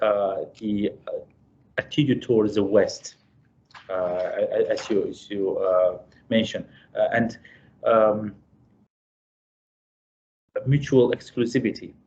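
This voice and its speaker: Halting male host on muffled audio. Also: lowish voice, darkish tone. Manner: halting, many fillers. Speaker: interview host. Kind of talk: interview questions.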